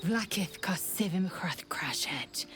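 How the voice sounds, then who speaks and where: A woman playing a proud, fierce alien warrior in a video game, solemn and formal, with a breathy, hushed edge. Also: fairly low pitch, animated, slow, whispered, over music. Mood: solemn, bitter. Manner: solemn, clipped, formal declarations. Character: proud, fierce female alien githyanki warrior, shaken in faith. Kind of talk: dramatic video game acting.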